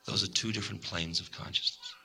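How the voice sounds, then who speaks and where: Calm low-voiced man giving a spiritual talk. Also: quick pace, vocal fry, breathy edge, soft background music. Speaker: spiritual teacher. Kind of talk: recorded spiritual lecture.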